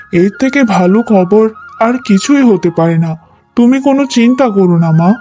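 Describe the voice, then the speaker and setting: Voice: medium pitch, mellow, lightly acted. A performer voicing a kind young husband and devoted son in an emotional cartoon, soothing and earnest. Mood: relieved, comforting. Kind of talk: emotional cartoon story acting.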